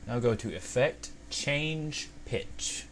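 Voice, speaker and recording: A man giving a casual, unscripted step-by-step software tutorial in an informal, explanatory way. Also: medium pitch, slow. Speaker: video tutorial presenter. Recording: amateur home recording, steady background noise.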